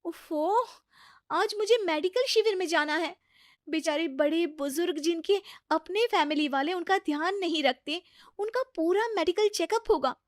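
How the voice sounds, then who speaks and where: A woman's voice: very high voice, normal speed, no room sound, clean studio sound, pitched above the performer's own voice. A woman voicing a busy, self-important young daughter-in-law, preachy and exasperated, in a story dialogue. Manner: self-righteous, excuse-making. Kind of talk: acted dialogue in a Hindi moral story.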